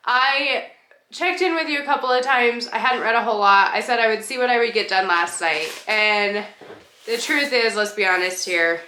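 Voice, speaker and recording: A woman with a stuffed-up nose chats in a loose, rambling way, and fillers keep slipping in. Her voice is bright and forward. The room echoes, and a faint hiss sits under the recording. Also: on the high side, normal speed. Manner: chatty, rambling, with laughs and fillers. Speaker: book vlogger. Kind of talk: casual reading vlog chat.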